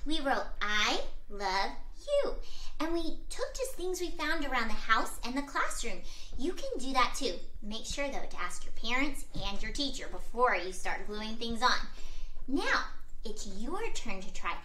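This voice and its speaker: A woman's voice: a little high, a little slow, poor audio. A woman host reading a picture book aloud to children and talking straight to her young listeners. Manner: animated, talks directly to young listeners. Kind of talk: read-aloud storytelling for children.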